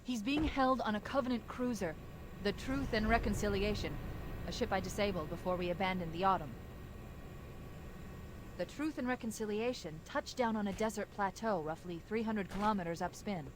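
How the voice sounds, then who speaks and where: A confident female AI companion acted by a woman briefs a soldier with crisp, precise authority. She sits at a medium pitch, in scripted game style, with clear noise of vehicles and battle behind. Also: normal speed, natural voice. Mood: urgent, briefing. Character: brisk, confident female military artificial intelligence companion. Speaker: tactical guide.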